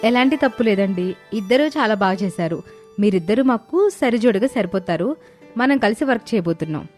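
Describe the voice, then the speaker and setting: A woman's voice: mid-range voice, animated, a little fast, a little mellow, close to an everyday voice. Woman playing an earnest young sister, cartoon story. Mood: pleased. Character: young sisters, eager amateur video makers. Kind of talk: dramatized moral story dialogue. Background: background music.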